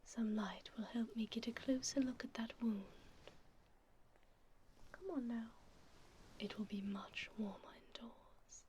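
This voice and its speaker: A woman playing a gentle, refined vampire duchess in an ASMR roleplay, speaking in a soothing, elegant, breathy whisper close to a binaural microphone. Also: on the high side, fairly even intonation, slight background noise, close to an everyday voice. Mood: tender. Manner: soothing, slow, elegant, tender.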